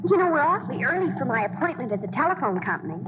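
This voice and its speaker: An actress playing an eager, chatty small-town girl just out of high school in a 1940s radio play. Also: sing-song, racing. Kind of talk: 1940s radio drama acting. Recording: muffled old radio broadcast with hiss.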